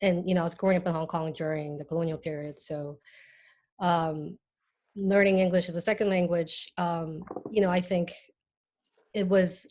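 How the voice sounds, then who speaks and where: A woman from South Korea recounts her past in an easygoing conversational tone. Her voice sits a little low. She uses frequent fillers as she talks. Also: moderate pace, slight background noise. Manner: relaxed storytelling with fillers. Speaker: artist guest. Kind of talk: casual panel conversation. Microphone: video call.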